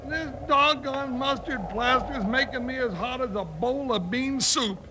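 A high, twangy bumbling cowboy sidekick. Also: male voice, measured pace. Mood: grumbling. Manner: excitable, yelping. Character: bumbling comic male cowboy sidekick, jittery. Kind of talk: 1950s radio acting. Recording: noisy vintage radio recording.

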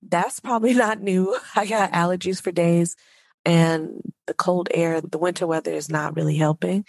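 A woman's voice: lowish voice, fairly even intonation, moderate pace, gravelly, a little mellow, no room sound, studio quality. A woman hosting her own podcast who talks with a stuffy nose, chatting directly to her listeners in a solo, conversational monologue. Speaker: podcast host.

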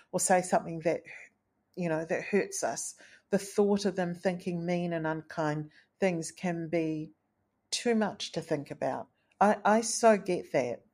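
A woman hosting a solo podcast, speaking in an earnest, gentle, thoughtful way with a low, tenor-like voice. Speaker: podcast host. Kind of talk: reflective solo podcast talk.

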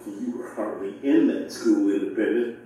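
An actor playing a pompous, ambitious young class politician in a theatrical audio drama, declaiming formally. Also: male voice, on the low side, normal speed, warm tone, lightly acted. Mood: brisk, hearty. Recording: drama playback in echoey room, distant.

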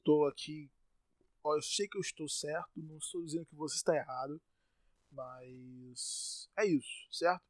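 A Brazilian man rants on his solo podcast, his fairly high voice jumping into loud outbursts before he tries to calm himself. He stumbles and repeats words when worked up. The sound is dry and close, with no room around it. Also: normal speed, no room sound. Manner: exasperated ranting with sudden loud outbursts. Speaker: podcast host.

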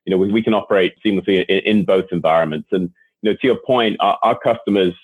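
An Englishman with a low, slightly husky voice speaks in an interview, often doubling words as he restarts his sentences. He comes through a thin, phone-like line with no room sound at all. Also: fast talker, no room sound. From England. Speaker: guest executive. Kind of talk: interview answers.

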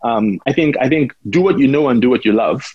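Male founder from Trinidad and Tobago with a husky voice, recorded dry and close, answering interview questions with frequent restarts. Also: mid-range voice, lively intonation, fairly quick, no echo, highs cut off. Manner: repeats words and says right often. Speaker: rum brand founder.

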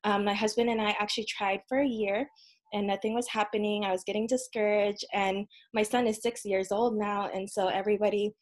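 A woman in her thirties, a podcast guest, telling a personal story in an earnest, casual way with frequent ums and soft laughs. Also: medium pitch, level tone, fairly quick. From United States. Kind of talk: casual interview conversation.

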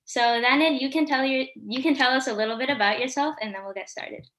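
A woman's voice: high-pitched, fast talker, a bit bright. A sixteen-year-old girl co-hosting a podcast, chatting casually and saying "like" a lot. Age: teens. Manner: chatty, lots of "like". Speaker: podcast co-host.

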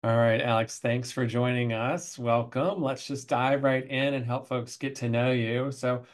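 A man's voice: mid-range voice, steady tone, a little slow. A man hosting an interview podcast, chatting casually in a friendly way that often stumbles over repeated words. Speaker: podcast host, professor.

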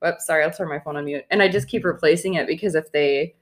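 A woman's voice: low-pitched, fast talker, slight background noise. A woman talks in a loose, chatty way, laughing often and filling her sentences with "like" and "um". A head cold leaves her voice stuffy and nasal. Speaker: podcast co-host. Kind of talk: casual chat.

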